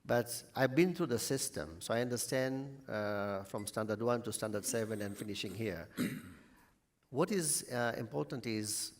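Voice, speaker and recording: A middle-aged man from Tanzania, a hotel chief executive, telling his career story at a measured pace. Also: medium pitch. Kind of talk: panel answer. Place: conference hall.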